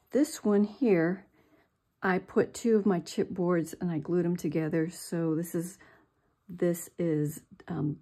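Older lady showing a craft project, slow and low, kinda husky. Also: mellow. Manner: slow, chatty, self-deprecating. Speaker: craft tutorial host. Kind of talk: step-by-step craft demonstration. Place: indoors at a craft table.

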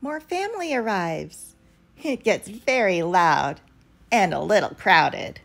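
A woman's voice: mid-range voice, sing-song, slow, creaky. A woman reading a picture book aloud expressively for young children, as a storyteller. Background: background music.

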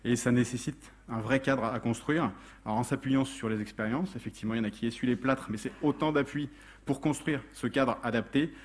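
A man presenting technical material at a lectern in a lecture hall, explaining step by step with hesitant pauses and repeated words. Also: medium pitch, slight background noise. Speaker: conference speaker, technical adviser. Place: indoor lecture hall with seated audience.

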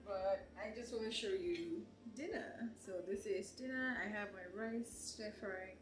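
A woman in her twenties vlogging casually at home, talking to viewers with frequent laughs and animated asides, filmed on a handheld camera. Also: mid-range voice, unhurried, reverberant, music in the background, low-quality recording. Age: 20s. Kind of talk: casual vlog chat. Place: small apartment kitchen and bedroom indoors. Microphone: handheld camera at arm's length.

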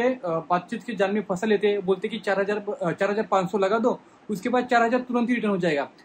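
A man's voice: sits high, a little hiss or hum. A young man explaining informally and emphatically to viewers, repeating points, recorded in a small room. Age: young adult. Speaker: vlogger warning about scams. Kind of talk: explanatory talk to camera.

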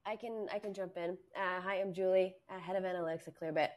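A woman panelist speaks earnestly over a video call while losing her voice. Her voice sits on the low side. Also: steady tone, quick pace, darkish tone. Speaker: head of analytics, panelist.

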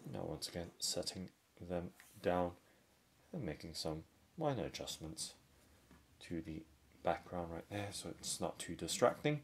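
A man giving unhurried, step-by-step product unboxing commentary indoors at a tabletop. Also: low voice, expressive. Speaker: product reviewer. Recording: faint handling and crinkling noise. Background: faint clicks and packaging crinkle.

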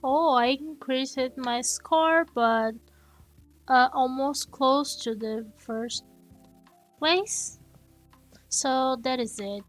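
A Brazilian woman whose first language is Portuguese, commenting slowly and haltingly in English as she plays and thinks aloud. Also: high-pitched, soft background music. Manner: slow, halting, thinking aloud while playing. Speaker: gaming channel host. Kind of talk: gameplay commentary.

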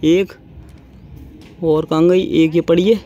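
A man's voice: on the high side, fairly even intonation, takes it slowly, mellow, dull audio. A young man giving casual, repetitive running commentary to his viewers, speaking informally. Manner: informal, addresses viewers as friends, repeats phrases. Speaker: vlogger. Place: outdoors on open rooftops. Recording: noisy open-air handheld recording.

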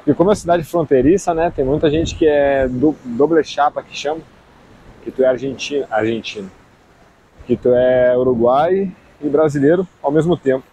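A man's voice: medium pitch, dark tone, a little hiss or hum, rough recording. A man in his thirties with a southern Brazilian accent, chatting casually and slangily to the camera outdoors as a travel vlogger. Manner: slangy, informal. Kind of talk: casual vlog chat. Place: outdoors on an open beach and dunes. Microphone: action camera at arm's length.

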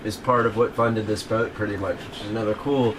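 A man's voice: on the low side, steady tone, fairly quick. Chill young guy talking in a boat cabin, far from the mic, noisy. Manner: easygoing, chill. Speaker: boat owner and former jet ski guide. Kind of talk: casual interview answers. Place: small wooden boat cabin. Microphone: camera across the table. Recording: distant camera mic with noticeable noise.

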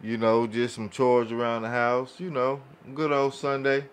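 A man's voice: mid-range voice, level tone, normal speed, clean tone. A man chatting informally as a podcast co-host in short phrases, on a studio microphone. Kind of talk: casual chat. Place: small indoor podcast studio.

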